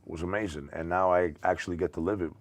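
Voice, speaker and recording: A man's voice: low-pitched, steady tone, quick pace. A middle-aged man from Greece speaking casually as a talk show guest, jokey and full of "you know". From born in Greece, came via London to the United States. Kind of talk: casual interview chat.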